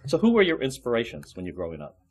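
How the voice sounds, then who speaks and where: A woman hosting an interview show, chatty and quick to chime in with encouraging remarks. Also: medium pitch, wildly swooping pitch, darkish tone, a little hiss or hum. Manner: chatty, quick, frequent backchannels. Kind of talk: casual interview.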